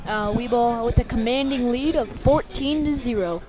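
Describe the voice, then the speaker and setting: A woman giving live color commentary on a football game, halting as she searches for words. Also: a little high, poor audio.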